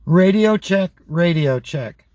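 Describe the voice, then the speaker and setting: A man presenting a radio test, speaking in deliberate, repeated test phrases. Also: sits high, expressive, darkish tone, no echo. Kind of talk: radio check test announcements, demonstration.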